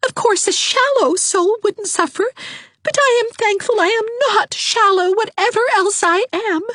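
A woman's voice: very high-pitched, normal speed, a bit bright, dry recording, studio quality, voice pushed up. A woman voicing a melodramatic young woman who sobs and wallows in self-pity, her voice thick with tears. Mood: tearful, melodramatic. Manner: theatrical, sobbing, overwrought. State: crying.

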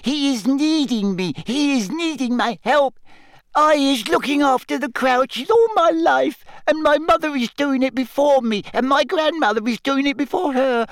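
A man's voice: lively intonation, unhurried, few pauses, bright, forceful tone, dry recording, exaggerated voice. A man voicing a tiny female house-elf for an audiobook, indignant, tearful and fiercely loyal, wailing in broken grammar. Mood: wailing, distraught. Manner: shrill, wailing, broken third-person grammar. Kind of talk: audiobook character acting.

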